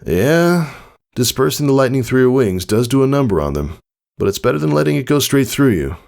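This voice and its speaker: Man voicing a reassuring pegasus dad, raised pitch. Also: sing-song, a little fast, creaky. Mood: calm, fatherly. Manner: gently reassuring, downplaying pain. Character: Tycho, injured pegasus father, reassuring and stoic. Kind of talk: fan fiction audiobook reading.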